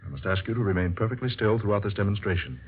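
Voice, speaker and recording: A grave male scientist acted by a man speaks in a low, formal, theatrical voice, like a visionary revealing secrets. It is old radio drama, on a dull recording with faint noise. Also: expressive, very fast, close to an everyday voice. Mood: grave, secretive.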